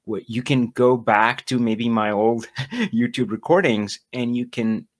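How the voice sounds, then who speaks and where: A man's voice: mid-range voice, unhurried. A man hosting a casual interview, enthusiastic and encouraging toward his guest. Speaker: podcast host.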